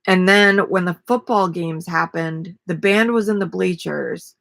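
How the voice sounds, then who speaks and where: Raspy slow-talking woman. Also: lowish voice, no echo. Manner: relaxed, rambling, self-deprecating. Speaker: vlogger and ukulele player. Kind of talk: casual live-stream chat. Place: small camper interior. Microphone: webcam at desk.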